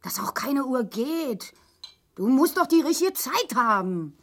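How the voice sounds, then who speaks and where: An actress playing a bitter East German wife in a grotesque radio play, sarcastic, needling and forever complaining. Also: female voice, high-pitched, very animated, a little slow, exaggerated voice. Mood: nagging. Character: bitter, nagging East German wife worn down by evenings at home.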